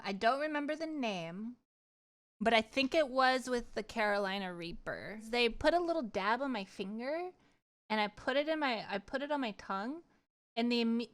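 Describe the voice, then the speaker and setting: A woman chatting casually on a livestream, telling stories in an animated, rambling, giggly way. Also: fairly high pitch. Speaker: streamer. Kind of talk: casual livestream chat and storytelling.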